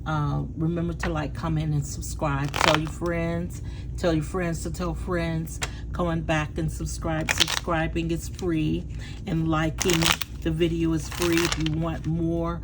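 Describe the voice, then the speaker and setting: A woman with a hoarse, fading voice who gives a casual tarot reading in a halting way, with fillers and restarts. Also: low voice, level tone, heavy background noise. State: hoarse, losing her voice. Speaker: tarot reader.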